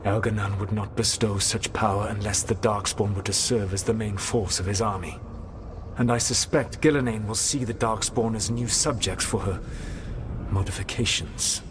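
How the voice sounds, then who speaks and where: Man acting a solemn, proud ancient mage over rumbling noise. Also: steady tone, natural voice. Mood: grave, bitter. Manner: measured, formal, deliberate phrasing. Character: solemn, proud, weary ancient male mage, grave and self-justifying. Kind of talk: dramatic fantasy video game dialogue.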